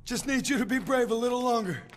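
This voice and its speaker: An actor playing a young American soldier in a war video game, soft and reassuring. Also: male voice, extremely high pitch, fast talker, over music. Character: gentle young American soldier comforting a child. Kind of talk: video game cutscene acting.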